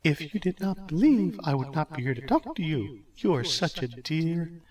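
A man's voice: animated, moderate pace, darkish tone, recorded from a distance, close to an everyday voice. A man voicing a tiny, jealous goddess with a nasal, twangy voice who scolds her husband. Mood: sweet. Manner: shrill scolding.

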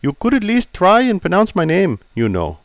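Male reader as grumpy veteran barber, blunt broken English, lively gravelly voice, dry sound. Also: medium pitch, measured pace, no echo. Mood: gruff. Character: crusty older male barber, gruff and prickly.

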